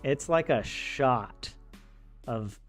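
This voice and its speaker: A man's voice: medium pitch, expressive, very slow. An American young man chatting casually on a live stream about life abroad, joking and laughing often between fillers and restarts. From United States. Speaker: streamer teaching Finnish. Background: faint video game music.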